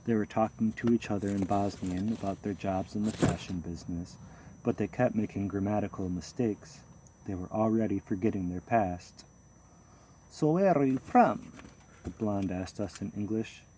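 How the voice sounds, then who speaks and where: Low, mellow-voiced man reading a memoir. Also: slight background noise, rough recording. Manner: measured, steady storytelling. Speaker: audiobook narrator. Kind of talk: first-person memoir reading.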